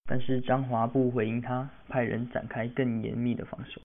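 Male student narrator, teens, scripted storytelling for radio drama, mid-pitched, narrow band-limited audio. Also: little pitch movement, normal speed, gravelly.

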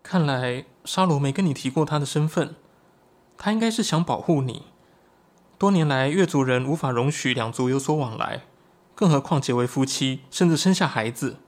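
An actor playing an earnest, excitable young shapeshifting tribesman in a Chinese audio drama, explaining things expressively. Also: medium pitch, moderate pace, natural voice.

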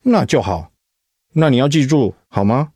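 Devoted young husband with lively pitch man acting. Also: normal speed, no room sound, clean studio sound, close to an everyday voice. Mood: concerned, probing. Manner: tender, coaxing, sincere. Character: devoted, protective young husband, gentle and perceptive. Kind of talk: Mandarin radio drama acting.

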